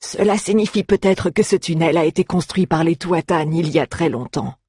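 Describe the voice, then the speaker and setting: A reader voicing a learned old male druid in a fantasy audiobook, speaking in a measured, thoughtful way. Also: mid-range voice, darkish tone, husky, no echo, clean studio sound, lightly acted. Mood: intrigued.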